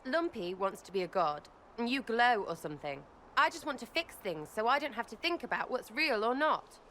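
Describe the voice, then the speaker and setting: Brash young game archer, British accent, sharp bright voice, slangy, restless, faint ambience. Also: female voice, a little high, very animated, normal speed, slight background noise. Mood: flippant. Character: brash, irreverent, scrappy young female archer. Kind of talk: video game dialogue acting.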